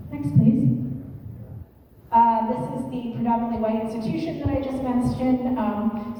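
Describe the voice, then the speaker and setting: A woman guest lecturer presenting a slide talk in a measured, explanatory way, pausing with fillers and restarting phrases. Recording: echoey hall, distant and dull, faint rumble.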